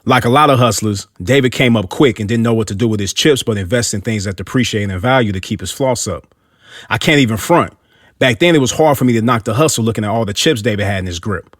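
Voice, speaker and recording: A man's voice: on the low side, fast, no echo, studio quality. A man narrating a scripted motivational story in an earnest way, with hip-hop street slang.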